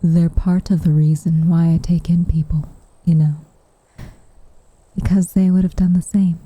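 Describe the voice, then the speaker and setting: A woman playing a gentle, wistful witch in an intimate ASMR roleplay, speaking softly and half-whispered, in a measured, tender way. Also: on the low side, level tone, no room sound, low-quality recording. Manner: soft, breathy, tender, measured delivery. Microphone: close microphone.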